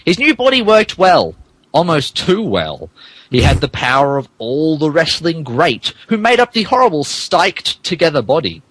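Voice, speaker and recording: A man narrates a fan story with mock-dramatic flair, slipping out of it into amused commentary. His pitch is a little high and swings widely, and the recording is close and dry. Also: wildly swooping pitch, normal speed, a bit bright, breathy edge, no echo, rough recording. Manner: theatrical, breaks character to comment. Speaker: podcast host reading fan fiction aloud.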